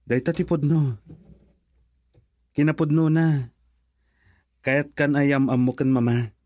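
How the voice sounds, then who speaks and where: A man's voice: a little high, fairly even intonation, slow pace, mellow, no room sound, studio quality. A man with a Filipino accent acting in a radio drama as an earnest son in love, eager to marry. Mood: affectionate, teasing. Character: adult son in love, eager to marry, reassuring his mother.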